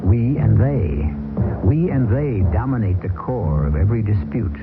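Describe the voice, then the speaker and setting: A man hosting and narrating a radio drama, grave, ominous and measured. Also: lowish voice, animated. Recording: tinny old radio broadcast with music.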